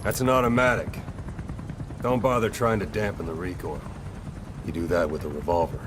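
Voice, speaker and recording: An actor playing a commanding, gruff soldier and drill instructor in a video game cutscene, lecturing in a stern, theatrical way. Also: male voice, low-pitched, steady tone, normal speed, noisy background, natural voice. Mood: instructive, wry.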